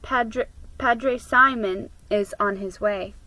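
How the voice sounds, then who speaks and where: A woman voicing a kindly, solemn old village gentleman in a storybook, gentle and measured. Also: on the high side, no echo, low-quality recording. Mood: calm.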